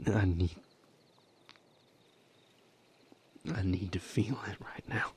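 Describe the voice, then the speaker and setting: A man playing an anguished lover in an intimate romantic audio roleplay, speaking in a breathy, gravelly near-whisper with halting, fraught pauses. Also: fairly low pitch, a little hiss or hum, close to an everyday voice. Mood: desperate. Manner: halting, hushed, fraught delivery with long pauses. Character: anguished male lover comforting and seeking comfort, vampire romance.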